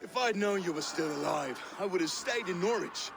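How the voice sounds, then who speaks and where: A man playing a hearty, world-weary warrior in a video game cutscene, with a gravelly voice and wry manner, outdoors in noise. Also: high-pitched, expressive, moderate pace. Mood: jubilant.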